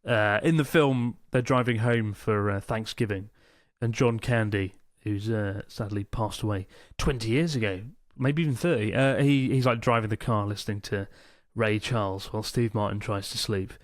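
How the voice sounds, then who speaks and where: A man's voice: lowish voice, moderate pace, no echo, studio quality. A man co-hosting a podcast in casual chat, telling stories with plenty of swearing. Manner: swears freely, animated storytelling. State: in pain from a strained arm muscle. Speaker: podcast co-host.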